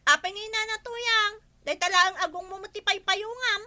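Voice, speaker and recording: An actress playing a nosy, chirpy village helper in an Ilocano radio comedy, chatty and questioning, with an Ilocano accent. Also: female voice, very high-pitched, normal speed, sharp tone, heavily acted.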